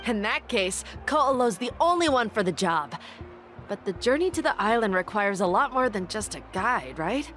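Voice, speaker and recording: A woman voicing an excitable young tribeswoman eager to see a famous guide. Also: medium pitch, moderate pace, faint music behind. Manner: eager, urging.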